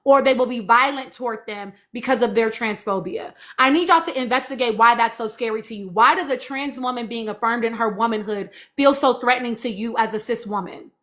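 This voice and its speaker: A woman's voice: a little high, fairly quick, hardly any pauses, sharp tone. A woman hosting a live stream, giving impassioned, informal commentary to her audience and repeating phrases for emphasis. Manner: emphatic, repeats phrases for stress, urging listeners.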